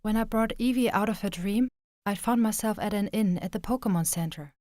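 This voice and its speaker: A woman playing an earnest, expressive young female trainer in an anime-style manga dub. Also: mid-range voice, a little fast, no room sound, clean studio sound, lightly acted. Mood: reflective. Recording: music under the voice. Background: background music.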